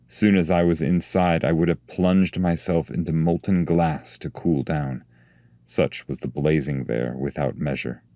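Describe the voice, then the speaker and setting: Man, very deep voice, soft dark tone, measured solemn literary narration. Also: steady tone, no room sound, clean studio sound. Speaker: narrator and reader of epic poetry.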